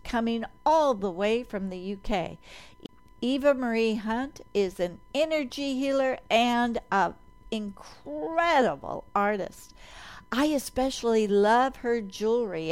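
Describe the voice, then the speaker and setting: A woman hosting a talk radio show, speaking with enthusiasm. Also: a little high. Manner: enthusiastic, upbeat.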